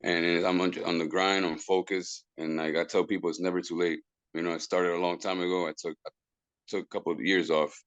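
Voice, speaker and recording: A man's voice: deep voice, little pitch movement, a little fast, dry recording. A man, a guest musician who talks casually, stumbles over repeated words and drops into Spanish phrases. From Puerto Rico. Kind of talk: casual interview talk.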